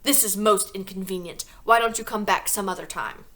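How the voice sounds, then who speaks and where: A woman putting on a gruff ratty father. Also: medium pitch, fairly quick, fairly bright tone, lightly acted. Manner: gruff, impatient snapping. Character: rude male father, small ratty man.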